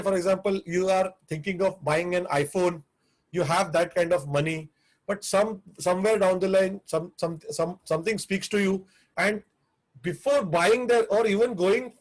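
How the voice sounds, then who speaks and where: A man's voice: on the high side, darkish tone, rough recording. A man with an Indian English accent, teaching a live online class on restaurant business, earnest and prone to repeating himself. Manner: earnest, repetitive, restarts phrases.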